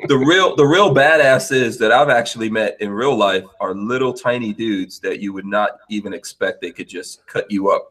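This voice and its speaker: Man, from Guyana, podcast host, laughing, animated, casual talk. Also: fairly low pitch, normal speed, few pauses. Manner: laughs often, animated storytelling. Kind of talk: casual chat.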